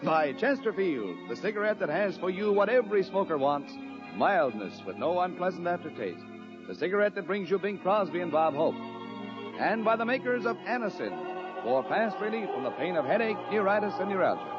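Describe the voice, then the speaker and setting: A man's voice: high-pitched, very animated, a little fast. An American man announcing a 1950s radio show and its sponsors in a grand, emphatic showman style. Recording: muffled vintage radio broadcast over music.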